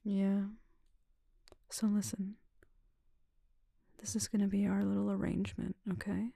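Slow, gravelly whispering woman. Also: mid-range voice, flat delivery, no room sound, clean studio sound. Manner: soft teasing whisper, drawn out. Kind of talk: ASMR roleplay.

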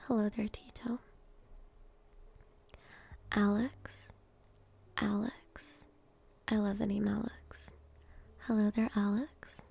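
A woman hosting ASMR, speaking softly and gently, often in a breathy whisper, deliberately repeating words as she reads out and greets. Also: medium pitch. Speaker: ASMR host. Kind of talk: ASMR, reading out names and greeting each.

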